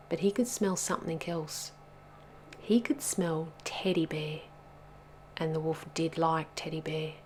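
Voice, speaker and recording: A woman narrating a children's picture book as a storyteller, in a deliberate and expressive manner. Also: lowish voice, slight background noise.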